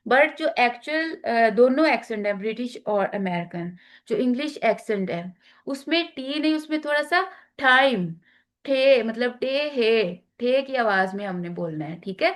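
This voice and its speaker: A woman with a Pakistani accent teaching an online class, talking slowly with many fillers and switching into English phrases. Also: fairly high pitch, expressive. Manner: slow, halting, many fillers, mixing in English.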